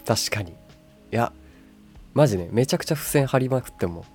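A man's voice: mid-range voice, lively intonation, brisk, darkish tone, faint music behind. A man co-hosting a casual podcast, chatting in a laid-back, lightly teasing manner. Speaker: podcast co-host. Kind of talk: casual chat.

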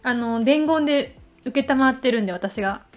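A young woman co-hosting a casual radio talk. Her voice sits a little high, and she talks in a playful, joking way over music. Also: moderate pace. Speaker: radio co-host. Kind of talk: casual chat.

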